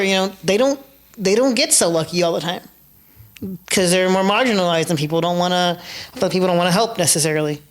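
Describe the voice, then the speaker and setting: A man in his forties on a panel, telling stories with laughter and many hesitations. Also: sits high. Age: 40s. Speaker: panel guest. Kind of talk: casual panel discussion.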